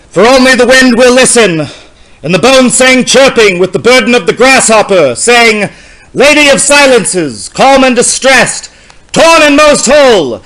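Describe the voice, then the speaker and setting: Male poetry reader, notably high register, solemn and incantatory, with dramatic, measured delivery. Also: a bit bright, low-quality recording. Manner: solemn, deliberate, theatrical, incantatory.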